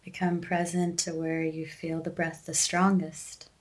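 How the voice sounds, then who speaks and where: Slow, even-toned woman guiding meditation. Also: low-pitched. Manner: gentle, unhurried instruction with long pauses. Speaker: meditation guide. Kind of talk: guided breathing meditation.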